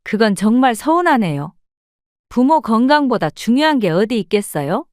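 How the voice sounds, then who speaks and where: A woman voicing a kindly neighbor woman who speaks with warm, expressive sympathy. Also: fairly high pitch, normal speed, no echo, clean studio sound. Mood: sympathetic.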